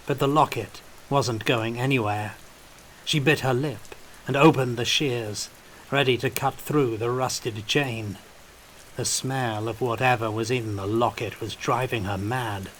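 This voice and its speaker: Guy narrating a fiction audiobook, unhurried, mid pitch, faint rain and hiss behind him. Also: fairly bright tone, rough recording.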